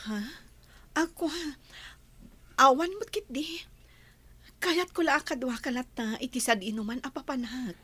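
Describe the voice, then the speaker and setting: A woman playing a gentle, worried young lady in an Ilocano radio drama, soft and earnest. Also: sits high, moderate pace, pauses often, breathy edge, heavily acted. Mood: tender, evasive.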